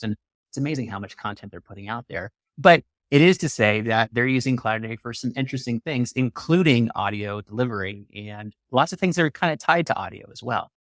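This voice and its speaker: A man's voice: mid-range voice, a bit bright, dry recording, studio quality. A man hosting an interview podcast, talking in a wordy, enthusiastic way. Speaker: podcast host. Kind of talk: upbeat hosted interview talk.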